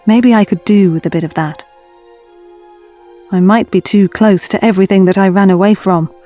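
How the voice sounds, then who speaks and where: A woman's voice: mid-range voice, fairly even intonation, normal speed, warm tone, soft background music, close to an everyday voice. A woman playing a caring, troubled young time traveller in an audio drama, earnest and confiding. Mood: reflective, worried.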